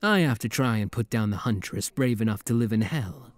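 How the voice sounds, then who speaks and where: A man's voice: fairly low pitch, expressive, brisk, dry recording, lightly acted. A man acting a suave, teasing demon prince in a romantic roleplay audio drama, with a courtly, flirtatious drawl and playful mock menace. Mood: wry, fond.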